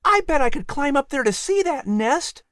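A man's voice: very high voice, big pitch swings, normal speed, fairly bright tone, dry recording, clean studio sound, pitched above the performer's own voice, exaggerated voice. An eager young boy. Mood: excited. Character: keen barefoot young boy, nature-wise.

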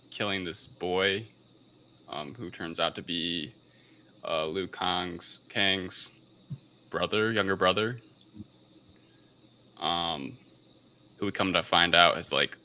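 A man's voice: low voice, pushed and bright, smooth. A man hosting a podcast, talking casually through a film review in a somewhat hesitant way. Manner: hesitant, unsure of pronunciations. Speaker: podcast host.

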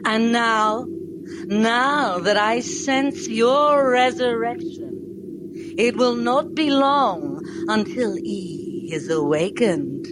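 An actor playing a solemn, devoted servant of a dark lord in an audio drama, reverent and ominous, over heavy noise. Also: mid-range voice, very slow.

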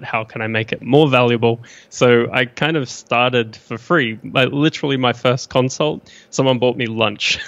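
Australian man in his thirties, podcast guest, steady monotone delivery, dry and slightly dull call audio. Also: mid-range voice, no room sound. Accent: Australian with some New Zealand. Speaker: guest, business broker. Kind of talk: casual podcast interview.

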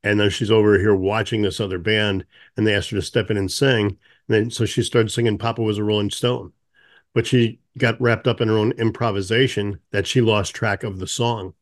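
A man with a lowish voice hosts a music podcast. He chats easily and tells stories in a loose, friendly flow, with fillers and small laughs. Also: fairly quick. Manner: relaxed, anecdotal, with fillers and laughs. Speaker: podcast host. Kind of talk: casual interview chat.

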